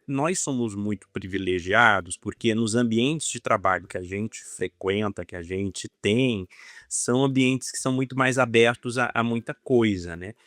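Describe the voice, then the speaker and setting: A man with a Brazilian accent chatting casually as a podcast co-host, halting and repeating words as he thinks. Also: mid-range voice, a bit bright, no echo, clean studio sound. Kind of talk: casual conversational podcast talk.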